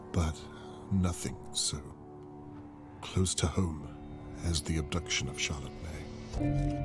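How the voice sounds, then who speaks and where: A very low-voiced, weary private investigator of advancing years, narrates a noir game story. He is brooding and solemn, slipping into a hush. Loud music plays behind him. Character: ageing male private investigator, brooding, haunted father.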